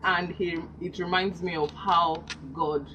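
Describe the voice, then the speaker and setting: A woman's voice: on the low side, fairly even intonation, unhurried. A woman from Delta State with a Nigerian accent, a gospel singer speaking earnestly and devoutly as a radio guest. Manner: soft-spoken, earnest, devout. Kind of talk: radio interview. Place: radio studio. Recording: lo-fi broadcast, faint noise.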